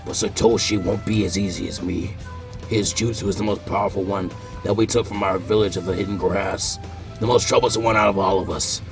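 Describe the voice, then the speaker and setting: A worn-out rogue ninja, voiced by a man speaks low and heavy. The voice sits deep in the chest, with the slow weight of someone who has lost a fight. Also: steady tone, music in the background. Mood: defeated. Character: defeated adult male rogue ninja.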